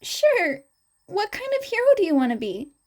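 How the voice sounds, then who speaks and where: Female reader as curious teen boy student, fan fiction audio; high-pitched, chirpy, earnest. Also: very animated, normal speed, a little mellow. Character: bright, eager, curious teenage boy hero student.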